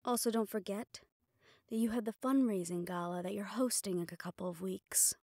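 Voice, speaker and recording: A woman's voice: mid-range voice, fairly even intonation, normal speed, darkish tone, no echo, close to an everyday voice. Woman acting a dry, capable mafia assistant, close-miked. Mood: dry, confident. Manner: dry, familiar, businesslike. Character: capable, sardonic female personal assistant to a mafia boss. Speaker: audio roleplay voice actor. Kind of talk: intimate scripted audio roleplay, one-sided dialogue.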